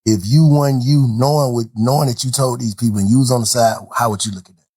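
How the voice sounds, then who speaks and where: A man's voice: mid-range voice, steady tone, fast, warm tone, slightly rough, no room sound, clean studio sound. A man interviewing casually, asking questions in a conversational, informal way.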